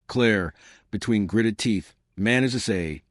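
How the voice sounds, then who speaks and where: A man's voice: on the low side, slow, no room sound, studio quality. A man narrating a scripted dramatic story as a suspenseful storyteller who speaks straight to the listener. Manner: suspenseful, addressing listeners directly.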